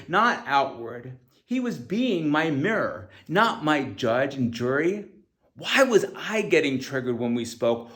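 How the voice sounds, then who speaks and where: A middle-aged man reads a scripted personal memoir aloud. His voice sits a little high for a man and carries heavy creak and fry. The delivery is earnest and reflective, as an author narrating his own book.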